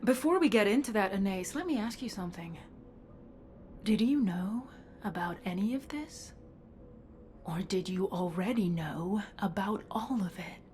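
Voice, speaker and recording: A woman's voice: mid-range voice, lively intonation, unhurried, darkish tone, slight background noise, lightly acted. A woman playing a vengeful, impatient cyborg commander in a video game, blunt, defiant and heated. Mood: bitter, confrontational. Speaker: voice actor. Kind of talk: dramatic game dialogue.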